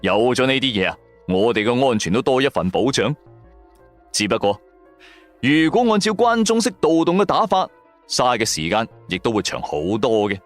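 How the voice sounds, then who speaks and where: A man's voice: medium pitch, very animated, moderate pace. A man with a Cantonese accent narrating a first-person audio drama in a colloquial, theatrical storytelling style. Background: background music.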